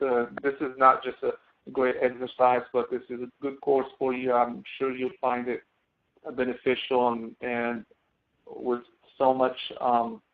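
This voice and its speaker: A man working as a college instructor, explaining step by step in an online class with a halting delivery that often restarts. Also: medium pitch. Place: online screen-sharing class session. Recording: tinny phone-like webinar audio.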